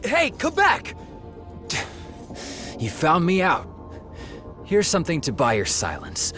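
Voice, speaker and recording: Boastful, animated mouse thief. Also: male voice, fairly high pitch, slow, heavily acted. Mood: wheedling. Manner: grandiose, sly, blustering. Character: boastful, theatrical male mouse thief, self-styled prince. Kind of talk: video game cutscene acting. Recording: game music behind the voice.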